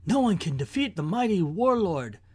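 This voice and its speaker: A boastful comic-book tyrant played by a man blusters in a raised, high voice. He barks commands like a warlord who expects to be obeyed, in a dry, close recording. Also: sing-song, moderate pace, husky, dry recording, exaggerated voice. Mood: boastful menace. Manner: blustering, barking orders. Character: loud boastful tyrannical male warlord villain. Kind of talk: comic book villain read-aloud.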